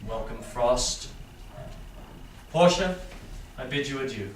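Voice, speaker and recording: Guy acting proud self-assured shakespeare prince, big swings in pitch, declaims, distant mic with faint noise. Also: expressive, echoey room. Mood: dismayed, solemn. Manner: declamatory, deliberating aloud with weighty pauses. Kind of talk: Shakespearean verse, staged reading.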